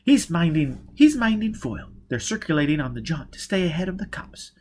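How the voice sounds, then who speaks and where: A man voicing a brusque, irritable woman on the run in an audiobook, impatient and forceful. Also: fairly high pitch, big pitch swings, fairly quick, slight background noise, pitched above the performer's own voice. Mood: angry, grim. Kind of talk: audiobook character voice.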